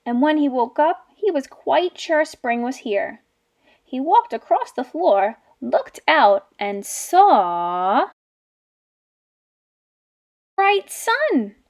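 High, animated young woman teaching small children. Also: extremely slow, long pauses. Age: young adult. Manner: expressive, addressing small children with questions. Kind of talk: story read-aloud and lesson for young children.

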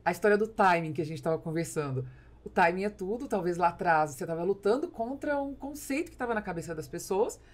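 A woman's voice: lowish voice, animated, quick pace. A woman in her forties with a Brazilian accent, hosting a conversational business interview at a close studio mic. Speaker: podcast host. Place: small foam-treated podcast studio. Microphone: studio mic on boom arm, close.

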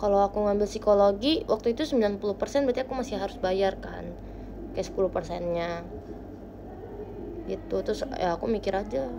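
A young woman hosting a casual livestream from her bedroom, chatting with viewers into a phone held close. She talks playfully, with quiet murmurs that jump into bright, lively bursts. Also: mid-range voice, brisk, creaky, pauses often, noisy background. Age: young adult. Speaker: idol livestream host. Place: small bright bedroom indoors. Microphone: phone camera close to face.

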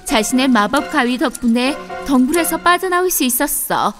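A woman narrating a scripted children's fairy tale in an expressive storybook manner. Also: sits high, moderate pace, music in the background.

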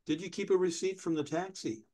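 Man playing an officious, deadpan older DMV clerk. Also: high-pitched, fairly quick. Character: pedantic, obstructive older male DMV window clerk. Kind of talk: staged comedy reading. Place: indoors, on a video call.

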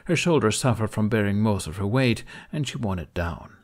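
Low-voiced, animated male audiobook narrator. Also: normal speed. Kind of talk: expressive scripted fiction narration.

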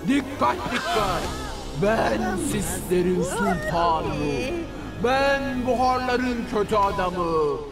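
A cartoon villain made of mist, grand and theatrical. The voice is high and slow, announcing itself imperiously over loud music. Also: male voice, expressive. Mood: grandiose. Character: grandiose living fog-cloud crime lord.

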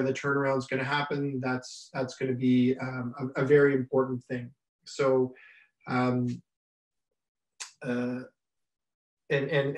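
A man, giving a business webinar with many fillers and restarts, his voice slightly raspy from much talking. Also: medium pitch. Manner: halting, many fillers and repeated starts. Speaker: business mentor presenting a webinar. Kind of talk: unscripted slide presentation. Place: home room, indoors. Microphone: webcam on a video call. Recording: roomy webcam sound from a distance.

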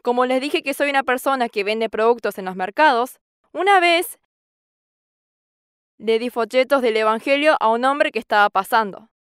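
A woman in her sixties gives an earnest church testimony on a studio stage, quoting scripture at length. Her voice is high and clear. The sound is dry, close and steady, from a lapel mic. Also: moderate pace, no echo. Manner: earnest, quotes scripture at length and acts out dialogue with a raised voice. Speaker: farmer giving testimony.

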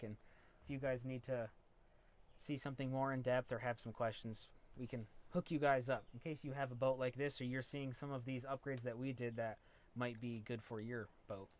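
Young guy on a lav mic, chill boat tour talk, pretty average voice. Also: mid-range voice. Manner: easygoing, explains features with fillers. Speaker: co-host giving a boat tour. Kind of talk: casual walkthrough vlog. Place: small boat cabin, outdoors by trees at the end. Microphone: wireless lapel mic.

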